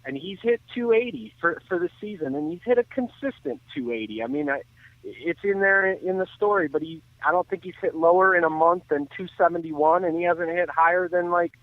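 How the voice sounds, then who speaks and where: A man giving earnest, detailed off-the-cuff baseball commentary as a radio guest, often halting and restarting his sentences. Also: sits high, dry recording. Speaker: baseball analyst and guest on a sports radio show. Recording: thin phone-like line.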